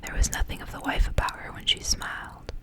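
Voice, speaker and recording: A woman reading a story aloud in a soft, breathy, intimate whisper for ASMR, with measured storytelling phrasing.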